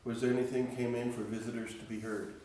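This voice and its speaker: A soft-spoken man chairing a city council meeting as mayor, with a flat, procedural delivery. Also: medium pitch, fast talker. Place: council chamber. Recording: echoey council chamber from far off, noisy.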